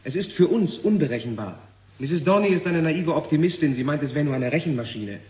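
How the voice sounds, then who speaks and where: A man's voice: on the high side, expressive, brisk, a little mellow, slightly rough, highs cut off. An actor playing an insistent, argumentative male scientist in a 1970 German radio drama, speaking with emphatic intensity. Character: insistent male scientist warning of an alien machine. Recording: noisy 1970 radio play recording.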